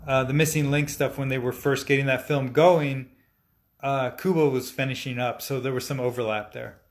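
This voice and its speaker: A man in his forties, a stop-motion animator, answering viewer questions in a relaxed, chatty way with frequent fillers and restarts. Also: mid-range voice, moderate pace. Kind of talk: casual live-stream Q&A, reading viewer comments aloud.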